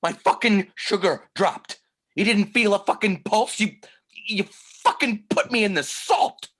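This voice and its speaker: A man playing a gloating, aggrieved masked crime boss with a husky voice and drawn-out theatrical delivery in a comedy play reading. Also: sits high, fairly bright tone. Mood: indignant rant. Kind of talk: table read of a comedy play. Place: remote video call.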